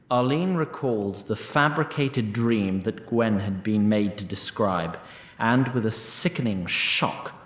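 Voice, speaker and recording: A man's voice: medium pitch, roomy sound. A man narrating a scripted fantasy tale in a theatrical, literary and wry manner.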